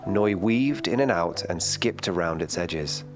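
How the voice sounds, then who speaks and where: Deep voiced guy reading a kids bedtime story, calm n gentle, guitar music behind him. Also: a little slow. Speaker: children's story reader.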